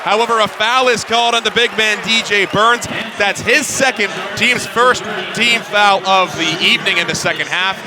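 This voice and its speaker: A young man doing radio play-by-play of a basketball game, quick and building in excitement on big plays. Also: sits high, crowd noise. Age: young adult. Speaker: play-by-play announcer. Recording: loud arena noise behind.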